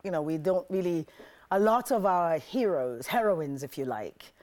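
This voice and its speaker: A woman's voice: on the low side, lively intonation, normal speed, darkish tone. A middle-aged woman, an actress born in Ethiopia, telling her story candidly as a TV interview guest. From born in Ethiopia to South African parents. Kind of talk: TV studio interview.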